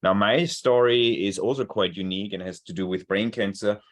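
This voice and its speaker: A man with an Austrian accent and a German mother tongue speaks over a video call. His voice sits very low, and his talk is earnest, with frequent repeated words as he looks for the right phrasing. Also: fairly even intonation, normal speed. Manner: earnest, repeats words while searching for them. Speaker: cancer researcher. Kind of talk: webinar talk.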